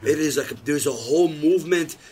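A man's voice: a little high, brisk. A man in his thirties, a podcast guest, telling stories in casual chat and acting out the lines he quotes. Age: 30s. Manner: animated storytelling, acts out quoted lines.

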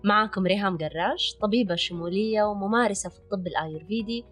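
A woman's voice: mid-range voice, a little fast, fairly bright tone, soft background music. A woman with a Hijazi Saudi accent co-hosting a health podcast, explaining things conversationally and sprinkling in English words. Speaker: podcast co-host, holistic physician and wellness coach.

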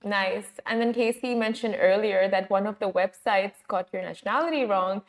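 A woman's voice: medium pitch, moderate pace. A young woman co-hosting a live show in an upbeat, bubbly manner. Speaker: radio show co-host.

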